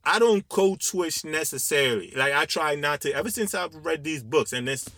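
A man with a fairly deep voice co-hosting a casual podcast discussion, talking through his thoughts in an earnest, reflective way. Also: lively intonation, normal speed, bright, forceful tone, dry recording. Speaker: podcast co-host.

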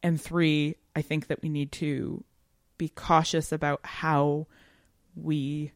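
A woman's voice: deep voice, level tone, slow, dry recording, studio quality. A woman co-hosting a podcast, discussing literature conversationally in an analytical, academic way. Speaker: podcast co-host.

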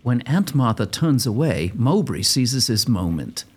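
A man's voice: mid-range voice, sing-song, measured pace, a little mellow, husky. A man giving playful, theatrical scripted narration as the storyteller of an audio drama.

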